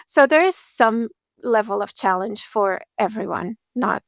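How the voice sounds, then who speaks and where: A woman speaking as a public speaking coach in a podcast interview, explaining in an articulate, measured and reassuring way. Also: medium pitch, lively intonation, gravelly, no room sound, clean studio sound.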